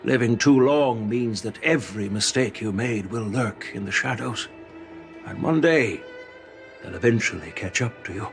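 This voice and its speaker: An actor playing an older, stern male general who lectures slowly and with measured weight. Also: music in the background, close to an everyday voice. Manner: measured, lecturing, lively pitch. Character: stern old male general, wise and commanding. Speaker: veteran general. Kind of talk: game voice acting.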